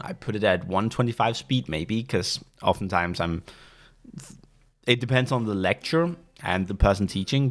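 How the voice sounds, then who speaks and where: A young Danish man hosting a casual solo podcast, pausing often and restarting sentences as he looks for the right word. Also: fairly low pitch. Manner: pauses often to search for words, many restarts. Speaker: podcast host. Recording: faint background music.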